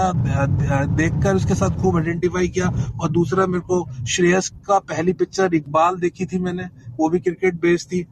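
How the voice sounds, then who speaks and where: A middle-aged man with an Indian accent chatting reflectively as a guest, halting and repeating words. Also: sits high, creaky. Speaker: actor, guest panellist. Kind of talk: casual reflective chat. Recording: noisy online audio.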